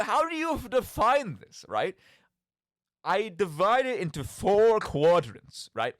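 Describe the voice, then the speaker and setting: A man's voice: on the high side, no echo, studio quality. A man hosting a radio monologue about video games, speaking theatrically with rhetorical questions and direct asides to his listeners. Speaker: podcast host.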